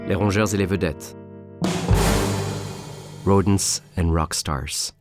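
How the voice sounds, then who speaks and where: Deep, slow male title announcer. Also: steady tone. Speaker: narrator. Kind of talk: scripted chapter title announcement. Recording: loud guitar music bed.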